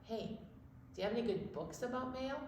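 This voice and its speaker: A woman's voice: on the high side, animated, a little fast, darkish tone. A woman co-hosting a children's storytime, chatting encouragingly and explaining things simply to young listeners. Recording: very echoey room, distant mic, faint noise.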